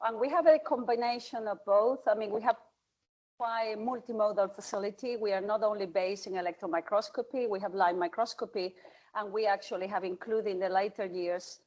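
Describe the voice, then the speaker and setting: A woman's voice: medium pitch, steady tone, fairly quick, a little mellow, dull audio. A woman in her forties who runs an imaging facility, introducing herself plainly on a panel and sometimes searching for words. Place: conference hall at a forum.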